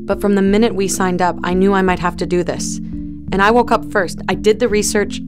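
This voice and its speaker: An actress playing a quiet, resolute young woman on a spaceship crew. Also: mid-range voice, steady tone, brisk, over music, natural voice. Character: determined young female spaceship crew member.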